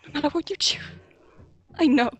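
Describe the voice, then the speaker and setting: A woman's voice: high-pitched, a little fast, lo-fi sound, faint music behind, pitched above the performer's own voice, lightly acted. A woman playing an anguished, self-blaming young woman in a dramatic fan scene, earnest and pleading. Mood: tender, tearful.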